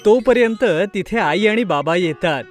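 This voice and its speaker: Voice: mid-range voice, expressive, moderate pace. Children's story narrator over music. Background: background music.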